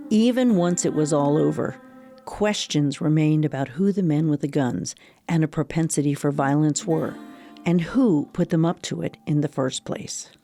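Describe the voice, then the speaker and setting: A woman narrating a radio drama from a script as a measured storyteller of an old crime tale. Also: on the low side, dark tone.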